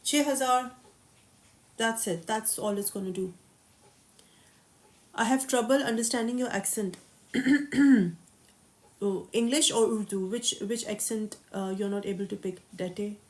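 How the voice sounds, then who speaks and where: A woman hosting a casual live stream who reads viewers' questions aloud and answers them briskly and confidently, mixing English and Urdu. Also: medium pitch. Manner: confident, matter-of-fact market opinions. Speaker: crypto livestream host.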